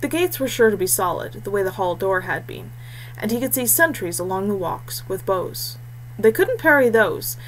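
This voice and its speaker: A woman's voice: medium pitch, fairly bright tone, slight background noise, poor audio. A woman reading a scripted fantasy story aloud as its narrator.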